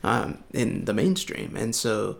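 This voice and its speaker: A man in his thirties with a gravelly, fry-tinged voice, talking through his thoughts in a loose, rambling way. He leans on fillers and circles back as he reflects, like a podcast co-host in easy conversation. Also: lowish voice, lively intonation, slow pace. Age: 30s. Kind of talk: casual conversation.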